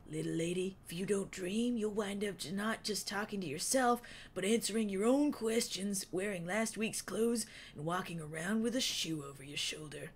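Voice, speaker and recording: A woman doing a hushed, wistful wandering man. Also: medium pitch, moderate pace, a little hiss or hum, close to an everyday voice. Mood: gentle, wistful. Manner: soft, hushed, drawling delivery. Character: kindly eccentric wandering man, sad and wise.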